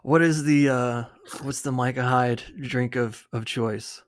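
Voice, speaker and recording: A man's voice: mid-range voice, fairly even intonation, measured pace. A man hosting a podcast, talking conversationally as he introduces the show and his guest. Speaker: podcast host. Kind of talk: upbeat show introduction and interview.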